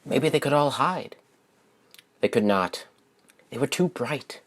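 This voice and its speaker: A man reading a children's story aloud as its author, with simple, deliberate phrasing and expressive stresses. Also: medium pitch.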